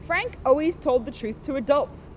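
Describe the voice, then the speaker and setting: Woman, children's read-aloud, expressive, swooping intonation, chatty asides, slightly muffled outdoor recording with faint noise. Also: sits high, normal speed. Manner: animated, expressive reading. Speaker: police officer reading a children's book. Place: outdoors in a sunny spot by a school.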